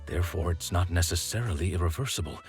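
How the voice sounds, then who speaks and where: A man voicing a deep, formal, composed butler. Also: fast, faint music behind, lightly acted. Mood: measured. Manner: polite, precise, formal. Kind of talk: game cutscene voice acting.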